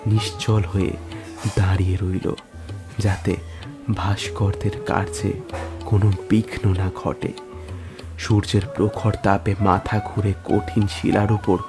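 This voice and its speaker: A man narrates a scripted literary story in a solemn, measured, dramatic way, pausing between phrases. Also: lowish voice. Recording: music under the voice. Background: musical instrument music.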